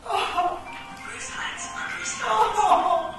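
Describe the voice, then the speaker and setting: Woman acting high-voiced theatrical chess queen, echoey stage. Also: fairly even intonation, very slow, gravelly, music in the background, full character voice. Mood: moved. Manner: theatrical, exclamatory. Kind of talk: live radio play acting. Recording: echoey noisy stage, far from mic.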